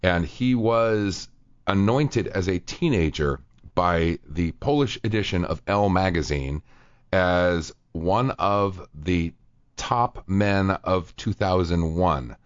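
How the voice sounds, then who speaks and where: Male radio host, very low voice, dry studio sound, dull lo-fi recording, casual with fillers. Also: slow pace, clean tone, no room sound. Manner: reads quotes aloud at length, many fillers.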